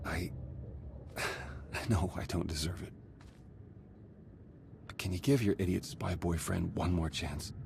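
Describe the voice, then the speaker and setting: Game role: nervous, earnest spy, deep register, hesitant and heartfelt, clearly noisy background. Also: male voice, steady tone. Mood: pained, remorseful. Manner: halting, sincere. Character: earnest, remorseful male spy, nervous and lovestruck. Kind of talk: video game cutscene acting.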